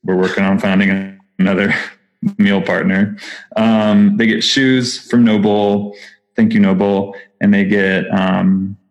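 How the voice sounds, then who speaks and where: Chatty low-voiced Texan man. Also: little pitch movement, slow pace, muffled recording. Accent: Texas. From Texas. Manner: enthusiastic, chatty, frequent fillers and restarts. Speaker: nonprofit founder, podcast guest. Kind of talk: casual interview talk.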